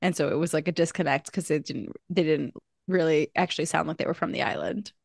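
A woman's voice: low-pitched, steady tone, fast talker, creaky, no room sound, studio quality. A young woman co-hosting a podcast, chatting casually with many fillers and restarts. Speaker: podcast co-host. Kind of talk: casual chat.

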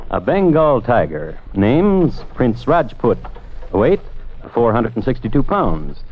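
A man hosting and narrating a scripted old-time radio true-crime show in a deliberate, dry, literary way. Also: mid-range voice, a little hiss or hum. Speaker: host and narrator. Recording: muffled vintage radio recording with music.

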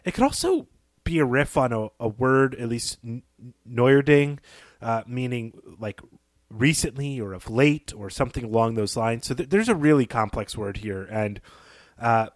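Guy on a book podcast, average pitch, explaining stuff thoughtfully, chatty, no room sound at all. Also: expressive, normal speed, no room sound, studio quality. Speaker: podcast co-host. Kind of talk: literary analysis podcast, conversational.

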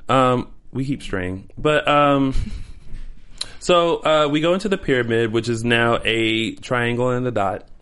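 A man's voice: mid-range voice, measured pace, a bit bright, clear, resonant tone. A man co-hosting a casual fan panel chat, rambling and joking with frequent ums. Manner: rambling, jokey, hesitant with ums. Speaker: co-host.

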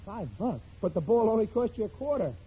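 A middle-aged, working-class bumbler of a husband and father in vintage radio comedy. His voice sits high but very muffled and dark, full of slangy bluster and stammered excuses. The old recording is dull with faint noise. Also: very animated, fairly quick, husky, heavily acted. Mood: incredulous. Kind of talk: 1940s-50s radio situation comedy acting.

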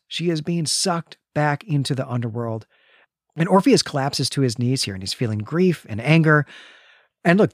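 A man's voice: medium pitch, dry recording. Man discussing literature, very dry sound. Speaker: podcast co-host. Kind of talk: literary discussion and story recap.

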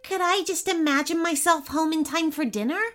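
A woman's voice: high voice, sing-song, unhurried, fairly bright tone, faint music behind, pitched above the performer's own voice, full character voice. A woman voicing a polite, nervous young boy in a story, hesitant, earnest and hopeful. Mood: wondering, hopeful. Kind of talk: storybook character voice.

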